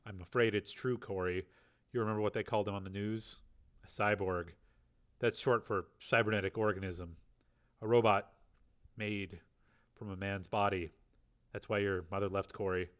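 A man voicing an older crime boss in an audiobook, talking softly and calmly to reassure a scared girl. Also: lowish voice, normal speed, long pauses, lightly acted. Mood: grave. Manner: soft, calm and reassuring. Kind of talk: audiobook character reading.

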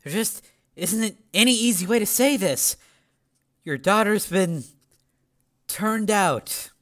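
Grave, world-weary woman, acted by a male narrator, light high register, subdued and hesitant, for audio fiction. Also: takes it slowly, fairly bright tone. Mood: grave, reluctant. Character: grave, world-weary woman breaking bad news gently.

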